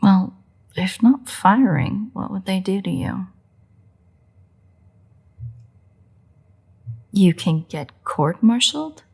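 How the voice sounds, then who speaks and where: Woman performing a female vampire, audio roleplay, sardonic, playful menace, gravelly low-end fry, unhurried pauses, secretly soft-hearted. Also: animated, heavily acted. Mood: incredulous, mocking. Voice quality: husky, creaky, often breathy. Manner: wry, teasing, unhurried delivery with pauses. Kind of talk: scripted roleplay audio drama monologue.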